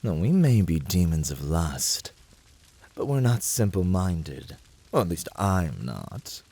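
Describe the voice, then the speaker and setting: Casting: male actor as flamboyant incubus, teasing and dramatic, slow-paced, wildly swooping pitch. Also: big pitch swings, mellow, slightly rough, full character voice. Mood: passionate. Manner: teasing, dramatic, flirtatious delivery. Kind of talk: scripted flirty ASMR roleplay.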